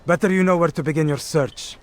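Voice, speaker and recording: An actor playing a bitter, disdainful keeper of an assassins' bureau in a video game, formal and scornful in manner. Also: male voice, a little high, brisk, close to an everyday voice. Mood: grudging, instructive.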